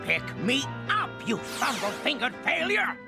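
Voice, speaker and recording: An actor voicing a vain, tantrum-prone ghost tyrant in a cartoon, pompous and theatrical, with a gravelly edge, hurling exclamatory insults. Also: sits high, wildly swooping pitch, measured pace, over music, full character voice. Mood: scornful fury. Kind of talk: theatrical cartoon villain acting.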